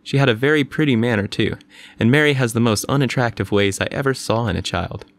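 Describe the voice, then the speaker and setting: A man's voice: on the low side, close to an everyday voice. A man reading a pitying, gossipy lady. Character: pitying, gossipy adult woman.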